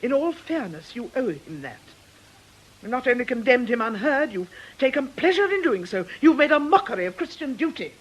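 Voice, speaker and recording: A woman acting in a radio drama as a composed, principled nun with a British accent and a measured, gently reproving manner. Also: high voice, very animated, husky, exaggerated voice, rough recording. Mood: firm, indignant. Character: principled, composed nun, firm and fair-minded. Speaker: radio actor.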